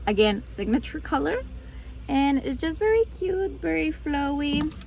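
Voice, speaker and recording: A woman's voice: high-pitched, very animated, measured pace, gravelly, a little mellow, low-quality recording. A woman in her twenties, a native Spanish speaker, chatting informally and with self-deprecation in a casual vlog. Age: 20s. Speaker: vlogger.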